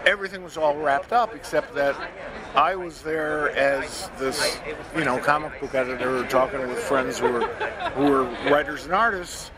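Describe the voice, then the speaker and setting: An older man in a casual interview, talking in a reflective, halting way as a guest. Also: mid-range voice. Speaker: interview guest, comic book editor. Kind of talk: casual conversation. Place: busy comic convention. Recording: very loud convention crowd noise.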